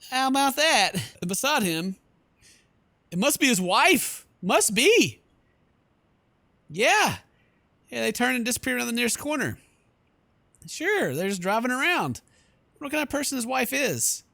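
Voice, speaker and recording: Animated, bright-voiced man gaming. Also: very high voice, unhurried, creaky, pauses often, no room sound. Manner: animated, joking, slips into mock voices. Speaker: gaming video host. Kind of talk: playful let's-play commentary.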